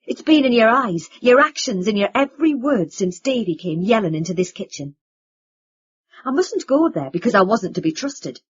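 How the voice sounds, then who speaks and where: Angry young man played by a woman narrator, loud and cutting, in a dull recording. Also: mid-range voice, big pitch swings, normal speed, breathy edge. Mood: furious. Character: bitter, furious young man accusing his family. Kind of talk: audiobook character reading.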